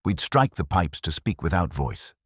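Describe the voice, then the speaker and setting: A man voicing a weary, grey-haired woman in an audiobook story, speaking softly, steadily and without fear. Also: low-pitched, normal speed, breathy edge, no room sound, clean studio sound, exaggerated voice. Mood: weary confiding. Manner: soft, steady, unafraid.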